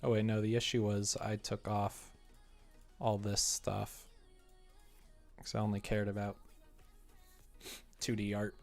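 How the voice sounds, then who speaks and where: A man's voice: fairly low pitch, little pitch movement, a little slow, gravelly, long pauses, a little mellow, faint music behind. A young man chatting casually on a livestream as he works, self-deprecating and muttering to himself, sometimes reading things out. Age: young adult. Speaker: live streamer, game developer. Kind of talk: casual livestream chat while working, reading comments aloud.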